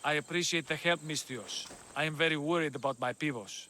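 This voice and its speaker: An actor playing a courteous, anxious scholar who talks earnestly in a video game. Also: male voice, fairly high pitch, fairly quick, pushed and bright, slight background noise. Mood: worried. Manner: polite, earnest, worried.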